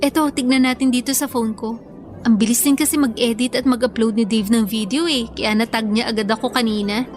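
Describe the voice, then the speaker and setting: A young Filipino woman, acted by a voice actress in a radio drama, sweet and a little bashful. Her voice is high, and soft music plays behind the dialogue. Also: steady tone, fairly quick, lightly acted. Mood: pleased.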